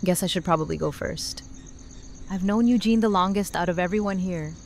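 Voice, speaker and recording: A woman's voice: on the low side, brisk, a little hiss or hum, natural voice. A woman playing a frank, heartfelt friend in an audio drama, speaking plainly and earnestly. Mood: frank, fond. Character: Rosita, adult woman friend giving heartfelt, frank wedding toast. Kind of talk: fan audio drama acting.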